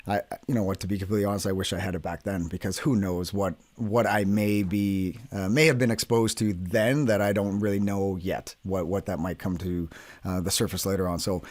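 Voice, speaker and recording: Podcast guy, lowish voice, plain-spoken casual chat, close dry recording. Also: steady tone, brisk, a little mellow, no room sound. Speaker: podcast host, military veteran.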